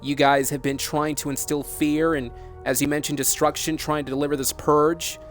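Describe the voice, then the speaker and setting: A man's voice: a little high, flat delivery, moderate pace, faint music behind. A man hosting an on-air interview, putting long, challenging questions in an earnest, insistent yet polite and reproachful way. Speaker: broadcaster interviewing by phone.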